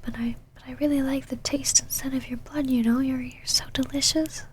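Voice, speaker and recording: A woman voicing a possessive, secretly tender vampire knight in an intimate ASMR roleplay, whispering softly in a haughty yet halting, easily flustered way. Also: sits high, steady tone, a little hiss or hum, lightly acted. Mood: shy, vulnerable. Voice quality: breathy, soft whisper.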